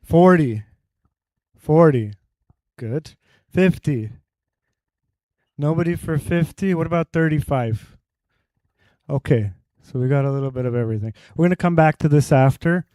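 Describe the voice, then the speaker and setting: A man's voice: on the high side, animated, a little slow, pauses often, dark tone, dry recording. A man giving a conference talk on health to a live audience. He explains with everyday analogies and quizzes the crowd directly.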